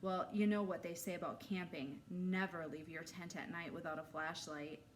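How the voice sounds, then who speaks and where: A woman's voice: on the low side, fairly even intonation, lightly acted. Woman as an ominous campfire storyteller man, noisy recording. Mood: spooky, hushed. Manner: dramatic, drawn-out suspenseful telling. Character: bald older male camp storyteller, ominous yet cheery.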